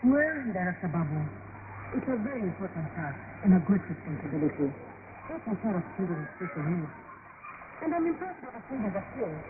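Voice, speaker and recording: An actress playing an eager, expressive woman in an educational radio drama. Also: fairly low pitch, brisk. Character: eager female visitor and wife. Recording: noisy shortwave broadcast, tinny band.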